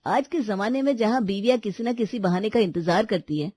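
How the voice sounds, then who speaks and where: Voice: mid-range voice, fairly quick, mellow, lightly acted. A performer voicing a talkative brother-in-law in a family story, speaking in a teasing way. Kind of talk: animated Hindi family story dialogue.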